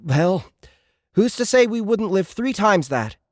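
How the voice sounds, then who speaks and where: A man's voice: high-pitched, big pitch swings, unhurried, no room sound, studio quality. A man voicing a weary, protective teenage boy who reassures younger kids in a science fiction audiobook. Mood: forced cheer.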